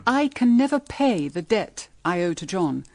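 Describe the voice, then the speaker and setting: A woman's voice: fairly low pitch, sing-song, a little slow. An actress playing a proud, dutiful middle-aged mother in a period radio drama, with an earnest, restrained, wistful manner. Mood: proud, burdened.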